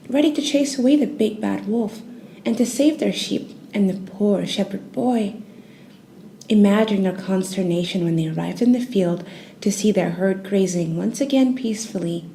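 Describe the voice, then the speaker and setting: A woman narrates a children's bedtime story in a soothing, gently expressive way. Her voice is mellow and relaxed, at an average pitch. There is faint noise behind her.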